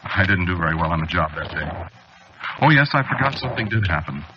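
An actor playing an anxious, haunted man confiding his obsession haltingly in a 1940s radio drama. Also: low-pitched, expressive. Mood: uneasy confiding. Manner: hesitant, rambling, halting confiding delivery. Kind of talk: 1940s radio drama acting, confessional narration. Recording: dull, noisy old radio recording.

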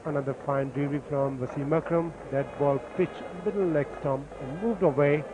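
A man calmly explaining each delivery as the expert summariser on a cricket broadcast. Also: fairly high pitch, fairly quick, dull audio, background noise. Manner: calm, explains deliveries technically. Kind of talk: analytical commentary.

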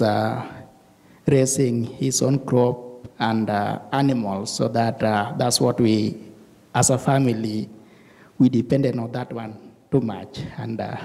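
A middle-aged man from Tanzania with an East African accent, recalling his life haltingly into a handheld microphone in a reverberant church. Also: mid-range voice, vocal fry, dark tone. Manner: halting, pausing often. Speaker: church member being interviewed. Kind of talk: personal recollection in an interview. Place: indoor church sanctuary.

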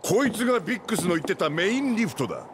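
An actor playing a gruff, hot-headed rebel tough guy in a video game, with rough, blustering, slangy speech. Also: high-pitched, very animated, fast, background noise. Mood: determined. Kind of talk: dramatic game cutscene acting. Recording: loud music behind the voice.

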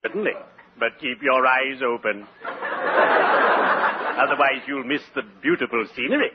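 An actor playing a morbidly genteel undertaker in a 1940s radio sitcom, formal, deliberate and drily macabre. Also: male voice, medium pitch, lightly acted. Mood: drily cheerful. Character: Digger, morbidly genteel, cheerful male undertaker. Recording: very noisy, muffled 1940s radio recording.

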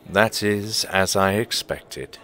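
A deep-voiced actor as a mysterious storyteller in an audio play. He speaks slowly and formally, with gentle, portentous wisdom. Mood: gentle, solemn. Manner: measured, portentous, old-fashioned formal phrasing. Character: gentle, wise, mysterious male storyteller of Christmas tales. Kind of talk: audio drama acting.

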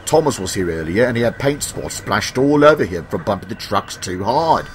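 A man's voice: lowish voice, lively intonation, normal speed, slight background noise, close to an everyday voice. A man voicing a sensible, reassuring engine driver in a children's story, with music behind. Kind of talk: children's storybook character acting.

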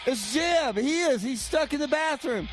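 A man's voice: very high-pitched, lively intonation, unhurried, a loud crowd. An actor in a comedy radio skit playing a laid-back, baffled man who fields a friend's call with casual questions.

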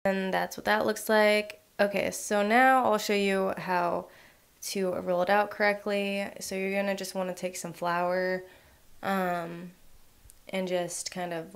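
A woman's voice: on the low side, creaky. Casual young woman talking through a recipe. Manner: relaxed, filler-heavy instructions with frequent "um" and "like". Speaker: cooking channel host.

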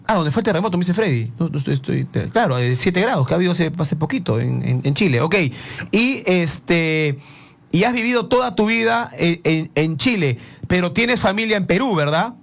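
A man hosting a live radio sports interview in a studio, asking lively, rambling questions full of restarts. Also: sits high, moderate pace, creaky. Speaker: radio show host.

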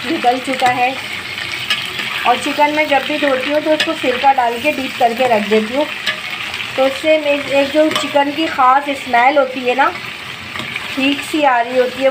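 A woman, hosting a home cooking video and explaining each step to her viewers in a homely, instructive way, recorded on a phone over the stove in her kitchen. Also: high-pitched. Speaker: cooking channel host. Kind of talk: home cooking tutorial narration. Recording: noisy with frying sizzle behind. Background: sizzling and stirring in a pot.

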